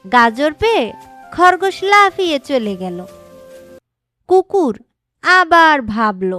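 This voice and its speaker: A woman's voice: high-pitched, sing-song, slow, pushed and bright. A woman narrating a scripted children's story as a storyteller. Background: background music.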